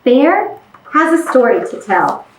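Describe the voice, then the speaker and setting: Storytime lady reading to kids, really high lively voice, kinda echoey room. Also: slight background noise. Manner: expressive, deliberate delivery. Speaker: library storytime host reading a picture book. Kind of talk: animated children's read-aloud.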